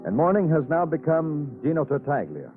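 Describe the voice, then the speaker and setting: An actor playing a weary, brooding police detective in an old radio crime drama, terse in talk and moodily poetic. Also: male voice, on the high side, sing-song, normal speed, narrow, tinny audio, faint music behind. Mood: brooding, wistful. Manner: terse replies, moody poetic narration. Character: weary, laconic, brooding male police detective narrating his case. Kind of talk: 1940s-50s radio noir acting. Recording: thin old radio broadcast recording.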